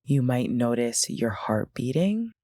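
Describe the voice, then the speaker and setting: A woman leading a guided meditation in a gentle, unhurried, instructional way with pauses between phrases. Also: very deep voice, sing-song, dry recording, studio quality.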